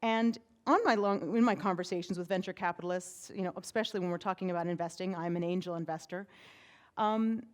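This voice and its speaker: A woman with a big, carrying voice addresses a conference audience from the stage. Her delivery is energetic and conversational, with frequent "right?" checks to keep listeners with her. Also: fairly low pitch, fast talker. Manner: energetic, chatty, uses many "right?" tags. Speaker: conference speaker, PR firm president. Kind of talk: conference talk.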